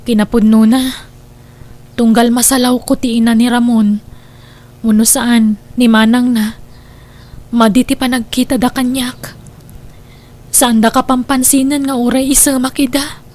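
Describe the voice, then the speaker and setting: A woman's voice: fairly high pitch, level tone, measured pace, long pauses, darkish tone, natural voice. A woman with an Ilocano accent playing a wronged girlfriend in an audio drama, pleading her case in a plaintive, emphatic way. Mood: troubled. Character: wronged, hurt girlfriend defending herself.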